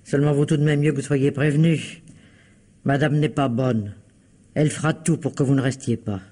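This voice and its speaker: An actor playing a blunt, helpful household servant, chatty and confiding, in old French radio drama style. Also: male voice, mid-range voice, fairly even intonation, normal speed, mellow, close to an everyday voice. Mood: confiding, ominous.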